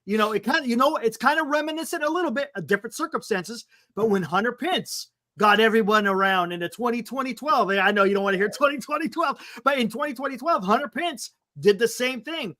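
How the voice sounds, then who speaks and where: A man's voice: extremely high pitch, lively intonation, a little fast, few pauses, bright, forceful tone, no room sound, studio quality. An American man co-hosting a casual sports talk show, speaking in a blunt, colloquial way.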